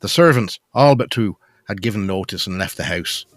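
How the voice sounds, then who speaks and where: A man's voice: lowish voice, lively intonation, normal speed, dry recording. A man reading scripted narration for a gothic audio drama.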